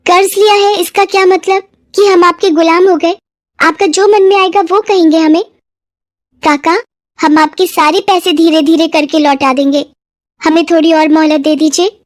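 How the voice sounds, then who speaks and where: A woman's voice: extremely high pitch, steady tone, normal speed, dry recording, clean studio sound, voice pushed up. A woman voicing an earnest, emotional young stepdaughter, dutiful but brave, in a moral story. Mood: indignant, then pleading.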